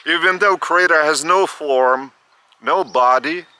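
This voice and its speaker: Older male spiritual teacher, Lithuanian accent, slow and halting, bright tense tone, outdoors. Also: on the high side, clean tone, dry recording. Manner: slow, halting, repeats words. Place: outdoors by a school stadium.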